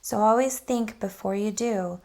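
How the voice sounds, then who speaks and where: A woman narrating for children in an expressive, deliberate and instructive read-aloud style. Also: medium pitch. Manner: deliberate, instructive, addressing young listeners.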